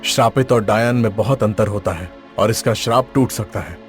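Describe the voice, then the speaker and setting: Man playing stern sage, low voice, solemn, commanding, instructive, animated fairy tale, soft music behind. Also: moderate pace, natural voice. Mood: solemn counsel.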